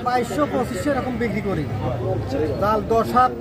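A man's voice: extremely high pitch, a little fast. A middle-aged man from Bangladesh selling fishing nets at an outdoor stall, giving short, plain answers. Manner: terse, plain replies. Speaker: fishing net seller. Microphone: camera a few feet away. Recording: loud crowd noise outdoors. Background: busy crowd and vehicles.

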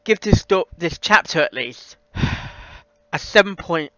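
A young man with a bright, clean voice gives a casual critique. He speaks haltingly and circles back over his own phrases. The recording is close and dry, though a little dull. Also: on the high side, lively intonation, no echo. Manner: halting, repeats himself. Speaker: reaction video host reviewing a fan audio reading. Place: small room at a computer, indoors.

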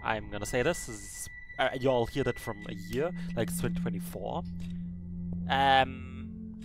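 A man's voice: mid-range voice, moderate pace, heavy background noise. A man of twenty hosting a casual monologue to his future self, rambling and hesitant with filler words. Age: 20s. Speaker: podcast host.

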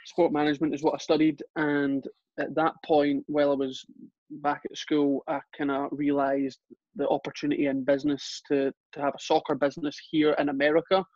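Young Scottish guest, even delivery, dry lo-fi sound. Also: male voice, fairly high pitch, brisk, dry recording. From Scotland. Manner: relaxed, with frequent fillers and restarts. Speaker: guest, soccer coach. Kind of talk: casual interview chat. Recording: dull, lo-fi sound.

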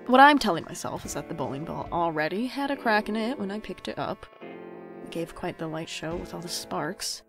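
An actor playing a wry, self-deprecating young adult in an audio drama, speaking and narrating in a dry, deadpan way. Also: mid-range voice, normal speed, creaky, music in the background.

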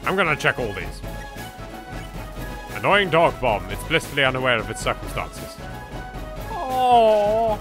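A man's voice: a little high, very animated, unhurried, pauses often, a bit bright. An English man giving dry, sarcastic commentary over a game he plays. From England. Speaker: let's play voice actor. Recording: game music behind.

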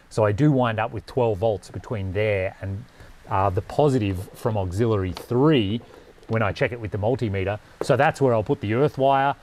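A man's voice: fairly low pitch, a little mellow, slight background noise. A man in his forties talking casually to camera like a DIY vlogger, thinking aloud as he explains things in a conversational way. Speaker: vlogger presenting a DIY vehicle install.

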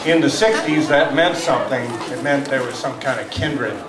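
An older man in his sixties gives a live poetry reading, with deliberate dramatic pauses and wry asides. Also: mid-range voice, over a noisy crowd. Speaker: poetry reader at an open mic. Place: small tavern stage indoors. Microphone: stand microphone, captured from far camera. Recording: roomy live tavern, distant mic, audience noise.

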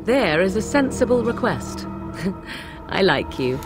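A woman's voice: medium pitch, sing-song, slow pace, over music. An actress playing a sly, aloof young witch of the wilds in a fantasy game, with an arch, formal manner. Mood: arch, amused. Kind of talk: fantasy video game acting.

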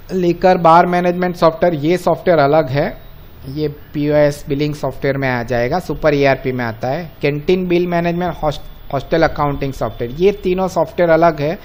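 An Indian man with a fairly high voice walks viewers through software on screen. He explains each step informally, in a friendly form of address, and drops English technical terms into his speech. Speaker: software tutorial presenter.

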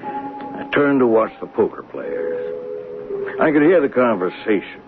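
An actor playing a plain-spoken, observant male train conductor, narrating a 1970s radio drama in a folksy, halting way. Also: medium pitch, big pitch swings, music in the background. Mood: reflective. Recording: noisy, narrow-band old radio broadcast.

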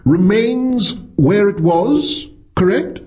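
A man's voice: high-pitched, expressive, slow pace, darkish tone, pitched above the performer's own voice. A man voicing an intense, reedy philosophy professor who lectures with smug energy, in an audiobook story. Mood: precise, confident.

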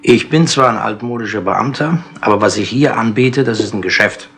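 An actor playing a shrewd, patronising older London chief inspector in a wry, colloquial way, in 1960s radio drama style. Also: male voice, on the low side, moderate pace, fairly bright tone. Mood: wry, businesslike. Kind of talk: 1960s German radio crime drama acting. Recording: noisy 1960s radio tape with clicks.

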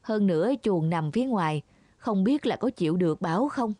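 A woman's voice: mid-range voice, expressive, fairly quick, mellow, dry recording, close to an everyday voice. Woman as a practical, anxious cartoon villager. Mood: worried, urgent. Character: practical, anxious farming villager looking after livestock. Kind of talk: children's cartoon fable dubbing.

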